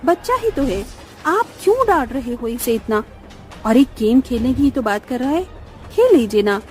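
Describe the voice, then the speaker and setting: A woman's voice: a little high, big pitch swings, moderate pace, a little mellow, soft background music, pitched below the performer's own voice. A woman playing an easygoing, dismissive young mother in a cartoon story, with a casual manner. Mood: coaxing.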